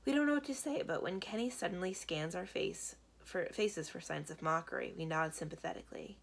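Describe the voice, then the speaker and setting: A woman's voice: fairly low pitch, a little fast, gravelly, slightly rough. A woman reading a children's book aloud as a library storyteller, narrating expressively.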